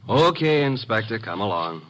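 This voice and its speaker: An actor playing a wry, breezy, wisecracking detective's assistant in old radio drama, both in dialogue and as narrator. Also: male voice, on the low side, sing-song, normal speed, highs cut off. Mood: flippant. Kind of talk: 1940s-50s radio detective acting and narration. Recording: slightly hissy old radio recording.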